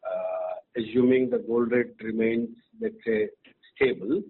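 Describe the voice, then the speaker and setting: A man giving halting, careful answers as an executive on an earnings call. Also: medium pitch, very animated, vocal fry. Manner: halting, hesitant, careful delivery. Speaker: company executive. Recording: noisy, tinny phone line.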